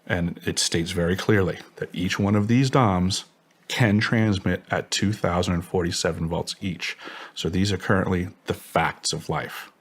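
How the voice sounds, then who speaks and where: A man with a distinctly deep voice answers as an interview guest. He states things emphatically, in formal technical language, as if giving testimony. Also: fairly even intonation, a little slow, slight background noise. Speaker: interview guest, former contractor.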